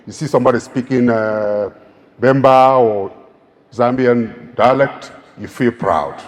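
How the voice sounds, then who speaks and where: A man's voice: medium pitch, slow pace. A Nigerian man with an Igbo accent giving an emphatic, rousing public speech as a keynote speaker. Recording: long reverb of a large hall.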